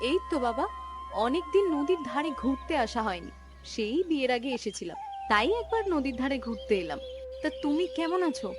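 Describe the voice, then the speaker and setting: A woman plays a dutiful young Bengali wife in a cartoon. Her voice is high and very animated, affectionate in manner. Music is clearly audible behind it. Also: moderate pace. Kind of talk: Bengali cartoon story voice acting.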